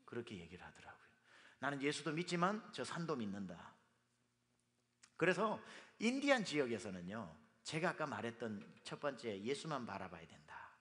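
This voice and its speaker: A man preaching a sermon as pastor, his voice hoarse from dust, speaking emphatically. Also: mid-range voice, sing-song, normal speed, fairly bright tone. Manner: emphatic, with sung and quoted lines. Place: church sanctuary. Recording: long reverb of a hall.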